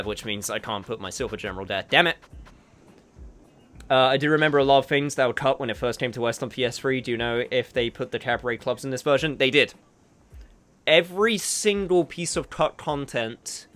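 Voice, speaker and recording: A man's voice: medium pitch, fairly bright tone. A man casually thinking aloud while playing a video game, muttering his moves, swearing now and then, and chatting with viewers. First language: not Japanese. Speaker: gaming streamer. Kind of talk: casual gameplay commentary.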